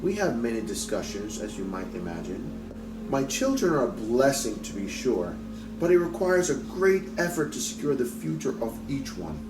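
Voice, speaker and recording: A man's voice: mid-range voice, sing-song, normal speed, far from the mic, natural voice. A haughty storybook matron, acted out by a man, prim, disdainful and easily flustered. The voice comes from a little way off in an echoey room, with music underneath. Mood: smug. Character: haughty wealthy matron, snobbish and fluttery. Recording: roomy echo, off mic, music behind.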